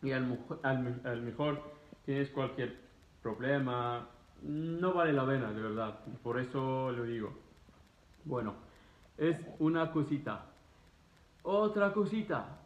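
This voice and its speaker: A man in his thirties with an Egyptian accent, a native Arabic speaker, talking casually to camera in emphatic, halting, repetitive phrases. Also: medium pitch. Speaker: vlogger answering students' questions. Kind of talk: casual explanatory talk to camera. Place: living room, indoors. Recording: roomy echo, dull muffled sound.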